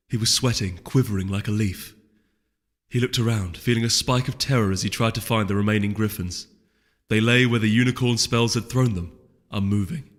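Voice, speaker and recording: A man reading fantasy fiction as a story narrator, in an expressive, suspenseful scripted style. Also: mid-range voice. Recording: long hall reverb under music.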